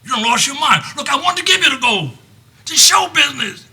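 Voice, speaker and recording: A man playing an aging king who is fading, with a husky voice, chanting in soulful rhymes and bursting into shouts. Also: high voice, sing-song, normal speed, pitched above the performer's own voice, heavily acted. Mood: raging.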